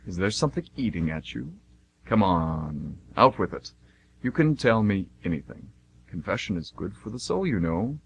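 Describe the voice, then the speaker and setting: A man acts out an easygoing God in an amateur radio play. His voice is low and husky and moves around a lot in pitch. He is teasing, punning and a little befuddled, like a hesitant businessman. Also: big pitch swings, moderate pace, lo-fi sound, exaggerated voice. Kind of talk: amateur radio theatre comedy acting.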